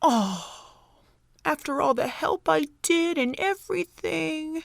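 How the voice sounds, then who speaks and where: A woman acts out a young female college student with a fairly high voice and a dry, close sound. She is chirpy, caring and insistent, pressing like a best friend in an amateur audio drama. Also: slow pace, creaky, no room sound, exaggerated voice. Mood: playful.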